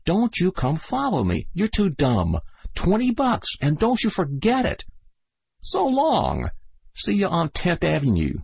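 A man's voice: high-pitched, huge pitch swings, measured pace, creaky, darkish tone, breathy edge, dry recording, voice pushed up, exaggerated voice. A man voicing a sly, slangy young American soldier in a read-aloud story. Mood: cocky. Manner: brash, slangy, carefree. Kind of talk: audiobook reading.

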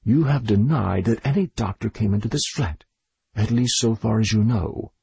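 A man's voice: on the low side, vocal fry, dark tone, slightly rough, no room sound, exaggerated voice. A man voicing a stern middle-aged doctor who questions a suspect in a story reading. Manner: stern, measured.

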